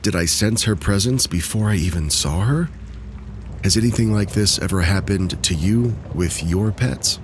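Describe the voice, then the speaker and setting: A man with a very low voice reads eerie first-person stories in a scripted, measured way, easing his pace whenever the suspense builds. A faint hiss sits under the recording. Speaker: narrator of submitted stories. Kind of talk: scripted narration of true spooky stories.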